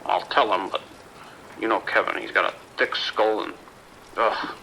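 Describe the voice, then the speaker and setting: Man playing a forceful, exasperated local guy. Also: lowish voice, fairly even intonation, a little slow, a little hiss or hum, rough recording. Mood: grumbling. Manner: blunt, grumbling, colloquial. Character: exasperated, gruff local man calling the police, blunt. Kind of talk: audio drama phone dialogue.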